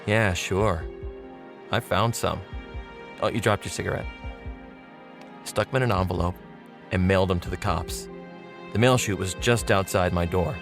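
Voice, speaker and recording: Gravelly, sardonic dead ex-con. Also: male voice, sits low, moderate pace, pauses often, music in the background. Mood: wry, chatty. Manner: slangy, wry, talking straight to the listener as a pal. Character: sardonic dead ex-convict telling his own murder story. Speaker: actor playing the narrator of a radio mystery. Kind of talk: old-time radio noir monologue.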